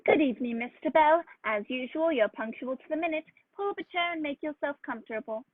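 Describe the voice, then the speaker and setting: A woman playing a genial, avuncular old gentleman doctor who tells stories in a radio play. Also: sits high, expressive, brisk, a little hiss or hum. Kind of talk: radio play acting and narration. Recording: dull lo-fi dry audio, faint music.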